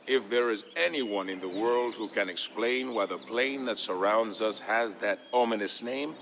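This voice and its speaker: A man's voice: lowish voice, normal speed, fairly bright tone, a little hiss or hum. A man playing a proud, boastful old village storyteller in a narrated game tale. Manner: boastful, grandiose.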